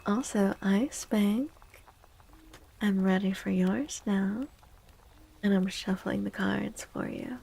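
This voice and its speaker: Slow, husky, soft-spoken ASMR woman. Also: mid-range voice, fairly even intonation, rough recording. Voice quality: soft, breathy, husky, often near whisper. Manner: gentle, playful, repeats words and phrases softly. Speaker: ASMR streamer, tarot reader. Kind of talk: soft-spoken ASMR livestream, chatting with viewers.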